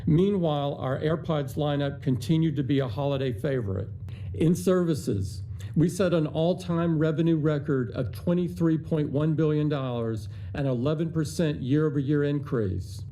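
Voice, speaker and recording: A man in his sixties presenting quarterly results on an earnings call, measured and upbeat, with some hesitations when answering questions. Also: on the high side, dark tone, slight background noise, rough recording. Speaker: company chief executive on an earnings call. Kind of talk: scripted earnings remarks, then unscripted answers.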